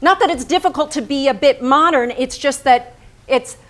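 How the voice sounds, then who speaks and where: A woman playing a needling, self-lacerating painter in a theatrical staged reading, deliberate and pointed. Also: sits high, lightly acted. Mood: provoking.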